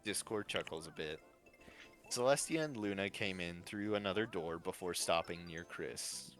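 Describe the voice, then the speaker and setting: A man's voice: low voice, normal speed, fairly bright tone. A man narrating a fan-fiction story as its host. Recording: faint music behind. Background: faint music.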